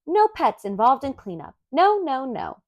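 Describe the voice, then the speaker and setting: Woman reading as gently firm, lively-voiced storybook mother. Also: sits high, measured pace, no echo, lo-fi sound, pitched above the performer's own voice, heavily acted.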